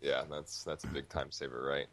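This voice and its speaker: A man's voice: a bass voice, little pitch movement, moderate pace, a bit bright. A man talking casually as a gaming podcast co-host, rambling and repeating himself as he reacts.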